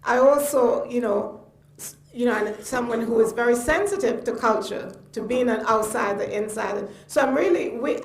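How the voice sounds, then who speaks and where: An older woman from Trinidad with a Trinidadian accent, speaking off the cuff at a public lecture in an emphatic, halting way. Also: a little high. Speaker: guest lecturer. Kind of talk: spontaneous public lecture commentary. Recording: echoey room.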